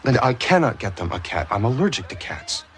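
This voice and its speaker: A man's voice: on the low side, sing-song, quick pace. An actor playing a mild, placating suburban husband and father in a naturalistic television drama. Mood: coaxing, puzzled.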